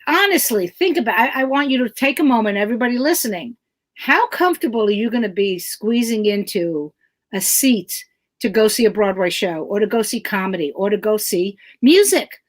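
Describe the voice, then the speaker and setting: A middle-aged woman comedian with a loud voice, ranting emphatically and swearing freely in casual chat. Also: mid-range voice, lively intonation, a little fast. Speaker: comedian guest.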